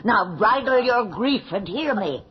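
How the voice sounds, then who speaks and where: A woman's voice: a little high, animated, measured pace. An actress playing a devoted older woman servant, earnest, formal and urging, in a 1980s radio horror drama. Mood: firm, coaxing. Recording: muffled old radio drama, busy background.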